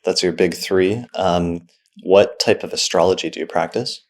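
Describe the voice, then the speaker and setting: Male podcast host, very deep voice, casual interviewer prompts. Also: steady tone, moderate pace. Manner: brief, friendly prompts with fillers. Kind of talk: interview questions.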